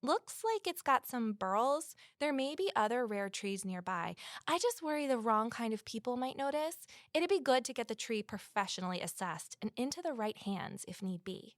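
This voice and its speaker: A woman's voice: on the high side, very animated, normal speed, few pauses, no echo, studio quality. A woman playing an earnest, scheming amateur sleuth who narrates her own story in an audio drama. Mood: polite, careful.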